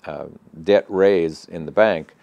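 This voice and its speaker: An older man answering interview questions in an office, speaking in a measured, matter-of-fact way with frequent fillers and restarts. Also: low-pitched, a little mellow, no room sound, highs cut off. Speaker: regional center president, interview guest. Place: office with bookshelves, indoors. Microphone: lapel mic.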